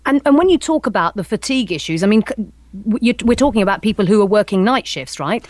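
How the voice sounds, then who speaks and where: A woman's voice: mid-range voice, animated, fast, no echo. A woman presenting radio news, with a probing, conversational way of interviewing.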